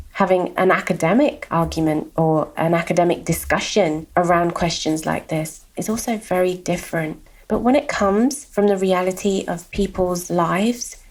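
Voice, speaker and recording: A middle-aged woman from London with a softened London accent, hosting a reflective solo podcast and repeating words for emphasis. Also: lowish voice, rough recording. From London, England. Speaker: podcast host.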